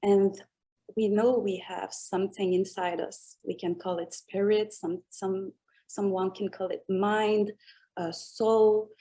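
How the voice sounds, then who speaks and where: A woman with a French accent answers interview questions in English, her second language. She speaks very slowly and reflectively. She pauses as she searches for the right words. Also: mid-range voice, flat delivery, warm tone, rough recording. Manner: slow, thoughtful, searching for English words, laughs often. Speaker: music artist guest.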